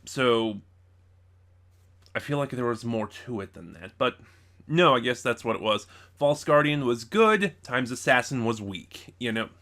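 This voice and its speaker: A man's voice: medium pitch, expressive, unhurried, a bit bright. A man hosting a casual review video, speaking in a conversational, opinionated way straight to the audience. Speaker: video reviewer and channel host.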